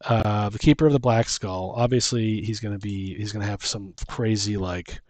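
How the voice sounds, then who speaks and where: A man co-hosting a casual chat, brainstorming fantasy lore in a halting, thinking-out-loud way. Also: lowish voice, no room sound. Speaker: podcast co-host.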